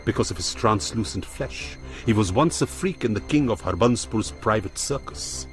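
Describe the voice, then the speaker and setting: An actor playing a menacing, courteous crime boss in a radio drama, speaking formally and deliberately with threat underneath. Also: male voice, fairly low pitch, animated, faint music behind, natural voice. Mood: suave menace.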